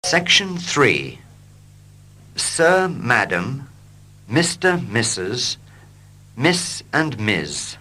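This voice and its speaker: A man reading short English dialogues slowly and clearly for learners, line by line. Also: medium pitch. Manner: slow, clear and expressive. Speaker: English teacher. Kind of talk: slow scripted reading for learners.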